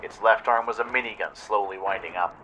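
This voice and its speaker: Deep, very bright-voiced male story narrator. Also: a little fast, dull audio, faint music behind. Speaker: narrator and radio show host. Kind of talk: dramatic scripted audio story narration.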